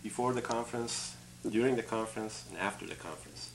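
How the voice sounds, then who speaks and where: Man, middle-aged, native Farsi, earnest and orderly, studio interview, low voice, light hiss. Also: brisk, gravelly, rough recording. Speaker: conference organizer, guest. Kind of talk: structured studio interview answer. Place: TV studio set, indoors.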